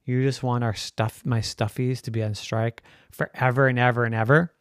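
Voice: mid-range voice, level tone, normal speed, dry recording, studio quality, lightly acted. An adult voicing a spoiled little girl in a bedtime story, whining and pleading over her stuffed toys. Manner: whiny, pleading.

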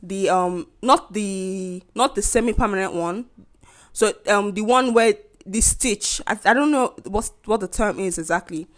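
A woman's voice: medium pitch, measured pace. Indignant young Nigerian woman venting. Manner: animated, indignant storytelling with mocking quoted voices. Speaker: podcast host. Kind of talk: casual venting monologue.

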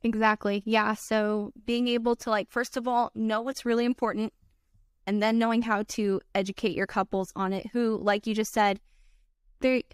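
A woman's voice: fairly high pitch, flat delivery, moderate pace, clean tone, no echo, clean studio sound. A woman wedding filmmaker, a podcast guest, talking in an earnest, chatty way full of "like" and "you know". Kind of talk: casual podcast chat.

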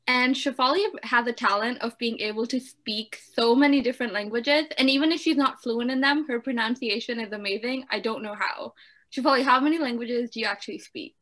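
Brief: female podcast host, sharp and bright voice, bubbly and enthusiastic. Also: a little high, brisk. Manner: enthusiastic, gushing about her guest. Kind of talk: casual podcast hosting.

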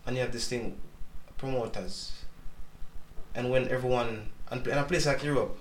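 A Jamaican man, a dancehall dancer, talking casually in an interview with a relaxed, patois-inflected way of speaking. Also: mid-range voice, normal speed, reverberant, a little hiss or hum, poor audio.

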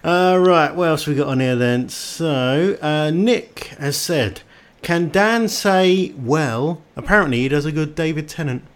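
A man's voice: on the high side, unhurried, few pauses. A man casually hosting a chat interview, reading out questions from listeners and bantering with guests.

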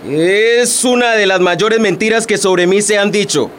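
An actor playing a blustering, domineering, hot-tempered husband in a radio drama. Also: male voice, high voice, a little slow, fairly bright tone, slight background noise. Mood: pleading denial. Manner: blustering, menacing.

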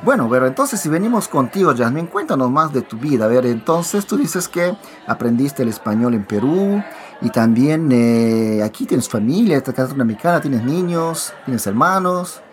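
A male podcast host, chatting in a friendly, rambling way and repeating words as he thinks. His pitch moves a lot, rising and falling through each sentence, around an ordinary middle level. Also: animated, normal speed, faint music behind. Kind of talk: casual interview for learners.

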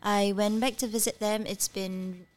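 A woman's voice: medium pitch, measured pace. A woman in her thirties whose first language is Cantonese, candidly telling her childhood story as a podcast guest. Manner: candid, animated storytelling with many fillers. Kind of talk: personal life story interview.

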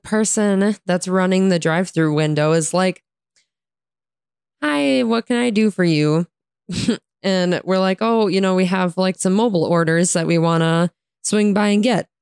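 A woman with an American accent and a hoarse, raspy voice, casually telling a story in slangy, sarcastic, exasperated style and mimicking dialogue. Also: lowish voice, slow pace, long pauses, a little mellow, no room sound, studio quality. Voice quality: raspy, tired voice. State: hoarse from talking all day. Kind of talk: casual chat, animated storytelling.

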